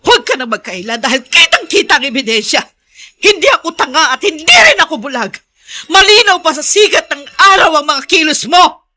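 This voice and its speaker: A woman's voice: sits high, expressive, brisk, bright, forceful tone. A woman acting a scolding, accusing wife and mother with a Filipino accent in a radio drama. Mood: furious accusing. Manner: scolding, confrontational. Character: sharp-tongued, accusing wife and mother defending her son.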